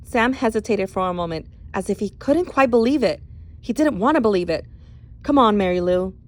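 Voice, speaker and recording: A woman reading a novel aloud as an expressive storyteller. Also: a little high, brisk.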